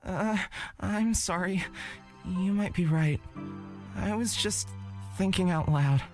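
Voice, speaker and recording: A timid young man , acted by a woman, speaks gently and hesitantly, eager but always apologetic. He belongs to a fantasy visual novel, with light music behind him. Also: fairly low pitch, takes it slowly. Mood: shy, apologetic. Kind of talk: visual novel character reading. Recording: background game music.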